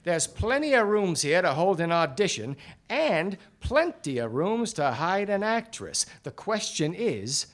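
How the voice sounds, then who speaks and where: A man's voice: high-pitched, very animated, a little slow. A man playing a confident, accusing 1940s detective in a theatrical, slangy radio play style. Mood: musing, sly. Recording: live radio play before studio audience.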